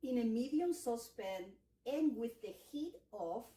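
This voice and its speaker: A woman's voice: a little high, lively intonation, unhurried, mellow, echoey room, distant mic. A middle-aged woman from El Salvador, a cookbook author teaching a cooking class with enthusiastic explanations and some fillers. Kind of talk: cooking demonstration.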